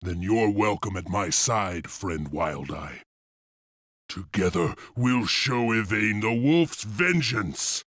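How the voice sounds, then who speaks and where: A man's voice: lowish voice, animated, slow pace, fairly bright tone, dry recording, clean studio sound, exaggerated voice. A man voicing a steadfast, commanding rebel leader of a wolf clan in a video game. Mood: stirred, welcoming. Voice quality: husky. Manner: commanding, resolute. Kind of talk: dramatic video game voice acting.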